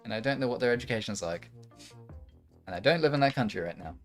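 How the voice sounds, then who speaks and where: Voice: medium pitch, animated, fast talker, soft background music. Candid young streamer. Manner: candid, sweary. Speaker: livestream host. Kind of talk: casual livestream chat.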